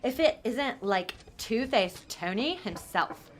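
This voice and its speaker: Snooty young pageant queen played by an actress: highish, very animated, contemptuous valley-girl delivery for audio drama. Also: slow pace, a little hiss or hum. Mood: sneering.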